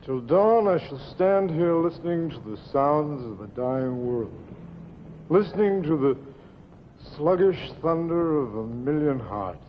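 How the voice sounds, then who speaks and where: An actor playing a florid down-and-out drinker who declaims poetically in a 1950s television drama. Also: male voice, on the high side, expressive, a little slow, highs cut off. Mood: grandiose, brooding. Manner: grandiose, theatrical declaiming. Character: florid, poetic down-and-out wino brooding on a dying world. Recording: hollow reverberant old TV soundtrack, noisy.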